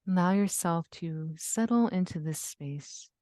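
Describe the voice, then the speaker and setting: A woman leading a guided yoga nidra relaxation, giving gentle, soothing instructions with long pauses. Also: lowish voice, dry recording, studio quality. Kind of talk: guided meditation.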